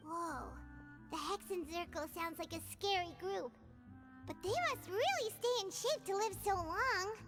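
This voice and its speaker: A woman's voice: very high-pitched, unhurried. A woman voicing a small, childlike fairy companion in a video game, chirpy and earnest, speaking of herself in the third person. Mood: curious. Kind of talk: video game character acting. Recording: music playing behind the voice.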